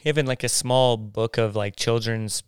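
A man telling his faith story in a casual interview, halting and restarting his sentences often. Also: mid-range voice, steady tone, clear, resonant tone. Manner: halting, many restarts and fillers.

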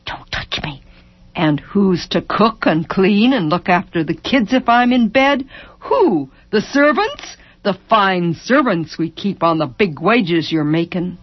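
Worn, anguished mother, voiced by a female narrator, defiant and folksy, slow delivery, slightly dull recording. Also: deep voice, dry recording. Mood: bitter, defiant. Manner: bitter rhetorical questions in clipped folksy speech.

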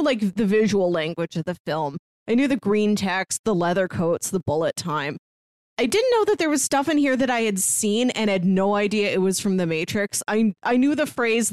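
A woman co-hosting a podcast in casual chat, with a wry, deadpan sense of humor. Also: mid-range voice, no echo. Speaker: podcast co-host.

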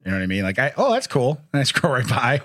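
Laughing, husky-voiced man rambling on a podcast. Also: mid-range voice, very animated, fast talker, a bit bright, no echo, studio quality. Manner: laughs often, rambling and self-deprecating. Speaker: podcast co-host. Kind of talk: casual podcast chat.

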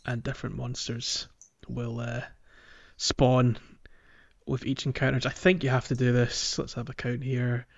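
A man's voice: medium pitch, little pitch movement, a little slow, vocal fry, no room sound, muffled recording. A man hosting a casual gaming podcast, speaking conversationally and putting questions to his co-hosts. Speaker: podcast host.

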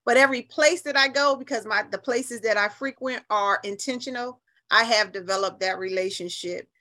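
A woman's voice: a little high, moderate pace, sharp tone. A middle-aged American woman talking casually as an interview guest, in an outspoken, emphatic and colloquial way. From New Haven, Connecticut, US.

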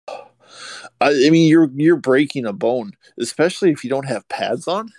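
A man's voice: mid-range voice, lively intonation, unhurried, a little mellow, no echo. A man co-hosting a casual podcast, wryly arguing his point step by step. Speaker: podcast co-host. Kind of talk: casual chat.

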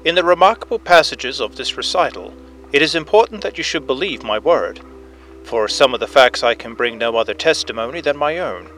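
A man's voice: mid-range voice, lively intonation, fairly bright tone, pitched above the performer's own voice, rough recording. A man as an earnest inspector on old phonograph. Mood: earnest, solemn. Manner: formal, measured, earnest. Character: earnest head police inspector recounting strange events. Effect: old phonograph recording. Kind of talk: dramatic first-person storybook narration. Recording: narration over music, phonograph effect. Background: music.

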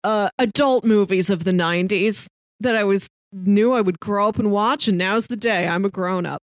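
A woman's voice: medium pitch, moderate pace, dry recording. A young adult woman co-hosting a podcast, chatting casually in a wry, chatty way with lots of "like" thrown in. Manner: chatty, wry, peppers talk with "like". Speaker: podcast co-host. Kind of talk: casual movie podcast chat.

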